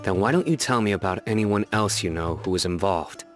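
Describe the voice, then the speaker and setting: A man's voice: low-pitched, a little fast, faint music behind, lightly acted. A man voicing a serious, determined investigator who speaks firmly and reassuringly in a game cutscene. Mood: steady. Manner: firm and reassuring.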